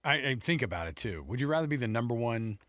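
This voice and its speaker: American dude cohosting sports talk, chatty and rambly, average pitch, dry studio sound. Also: male voice, big pitch swings, fast talker, a bit bright, no echo. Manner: informal, rambling, conversational asides. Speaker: sports talk show co-host.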